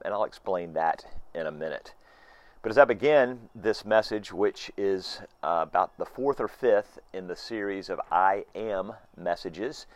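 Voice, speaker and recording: Hoarse pastor, late fifties, low and gravelly, reflective sermon with restarts, recorded on a porch. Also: male voice, no room sound. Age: 50s. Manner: earnest, reflective, with frequent restarts. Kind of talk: informal sermon. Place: screened back porch.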